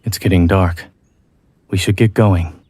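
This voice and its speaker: A man acting a reserved, dry-witted, quietly caring doctor in an amateur audio drama, measured and understated. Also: low voice, steady tone, slightly rough, no echo. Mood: measured, practical.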